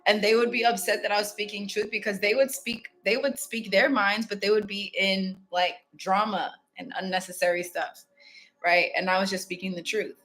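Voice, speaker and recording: A woman's voice: mid-range voice, fairly even intonation, normal speed, a bit bright, poor audio. Candid chatty woman from Ghana. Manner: candid, chatty, reflective, with fillers and asides. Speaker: spiritual self-help host. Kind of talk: casual motivational monologue to viewers.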